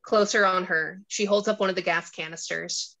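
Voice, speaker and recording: A woman's voice: lowish voice, fairly even intonation, moderate pace, gravelly, bright, forceful tone. A woman narrating a screenplay, reading out stage directions and scene descriptions.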